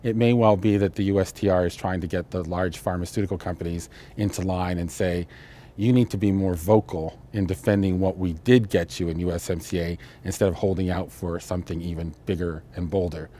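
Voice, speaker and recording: An older man with a deep voice speaks as an expert guest in an interview. His delivery is measured and explanatory, with faint ambient noise behind him. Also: steady tone, mellow. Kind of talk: television interview analysis. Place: indoor studio, seated interview.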